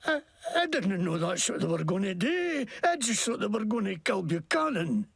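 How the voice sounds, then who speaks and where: A swaggering wasteland crook in a video game with a badly faked Scottish brogue. His gravelly voice leaps all over the place as he rants, curses and protests. It sounds dry and close. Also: high-pitched, sing-song, normal speed, no echo, heavily acted. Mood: indignant. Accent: put-on, badly faked Scottish. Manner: profane, jumpy, indignant ranting. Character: crude scheming wasteland grease-monkey man faking Scottish. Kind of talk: video game character acting.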